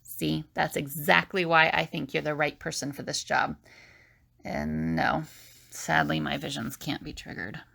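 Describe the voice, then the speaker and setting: Woman acting earnest fugitive lady in audio drama, lots of vocal fry, lowish, determined. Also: flat delivery, measured pace, pushed and bright, exaggerated voice. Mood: earnest, explaining. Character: resolute woman fugitive with visions, earnest planner.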